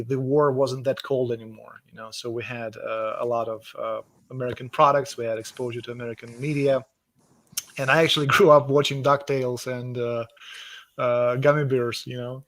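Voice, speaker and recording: A man's voice: medium pitch, a bit bright, dry recording. A man from Russia with a Russian accent, speaking as an author guest in a casual video call interview, enthusiastic and often repeating words as he searches for phrasing.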